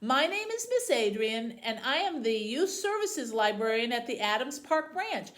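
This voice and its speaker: A woman presenting and reading aloud as a librarian for tweens, in a deliberate, presentational way. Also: a little high, sing-song, fairly bright tone. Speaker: youth services librarian hosting a book program. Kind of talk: book talk and read-aloud for tweens.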